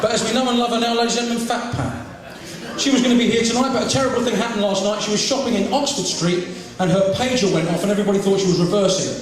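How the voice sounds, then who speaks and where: A fast-talking comedian working a crowded function room, his fairly high voice coming from some way off the mic. The hall rings with heavy echo, and a lively audience murmurs and laughs behind him. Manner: rapid-fire joke telling, voices characters in jokes. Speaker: stand-up comedian hosting a charity fundraiser. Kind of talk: stand-up comedy routine. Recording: distant, very echoey hall with crowd.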